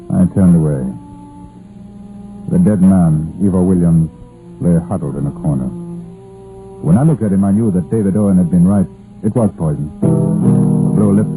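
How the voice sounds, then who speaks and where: Police inspector male actor, old radio crime serial. Very deep, measured, sombre, steady under strain. Scored with music. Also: natural voice, poor audio. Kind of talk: old radio crime drama, narration and dialogue. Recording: vintage 1950s radio broadcast, music behind.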